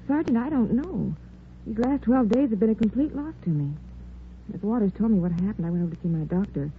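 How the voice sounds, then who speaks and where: A woman's voice: medium pitch, expressive, brisk, slight background noise, close to an everyday voice. An American woman playing an apologetic, bewildered young woman in a 1940s radio drama, earnest and emotional. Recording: muffled old radio recording, faint music.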